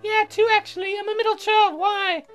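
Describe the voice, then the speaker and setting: Casting: excitable, brash young songwriter girl, piercingly high, loud and theatrical, visual novel game. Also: moderate pace, soft background music. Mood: chirpy, polite.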